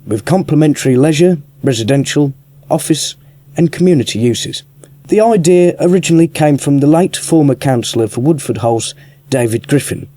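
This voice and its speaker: A man's voice: medium pitch, dark tone, no echo. A man reporting for a radio documentary, reading scripted narration and putting interview questions.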